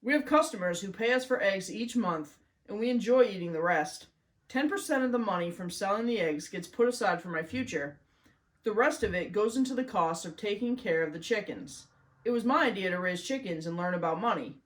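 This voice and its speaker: A woman's voice: medium pitch, lively intonation, brisk, natural voice, low-quality recording. A woman voicing a proud, earnest farm girl explaining things in a children's storybook.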